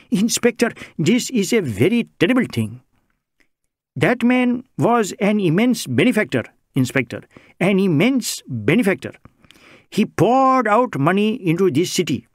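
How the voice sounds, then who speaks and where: A man's voice: high voice, big pitch swings, unhurried, dry recording, clean studio sound, pitched above the performer's own voice, exaggerated voice. A man voicing an anxious, wordy middle-aged male social worker, pleading and flustered. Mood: distressed.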